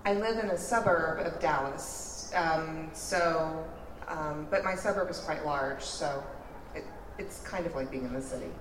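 Woman, middle-aged, relaxed and hesitant, roomy hall. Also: sits low, unhurried, background noise. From born in Missouri, raised in Texas. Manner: relaxed, self-deprecating, hesitant with fillers. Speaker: author guest. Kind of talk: interview answers through an interpreter.

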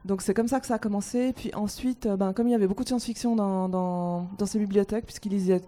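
A woman on a panel telling a story from her childhood in a lively way, repeating words as she goes. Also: mid-range voice, fast. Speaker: panel guest. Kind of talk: personal anecdote.

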